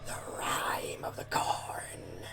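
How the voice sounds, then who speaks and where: A woman voicing a towering cornfield monster in a horror story, chanting a rhyme slowly and menacingly in a breathy whisper. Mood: slow menace. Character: sinister towering cornfield monster with jack-o'-lantern head.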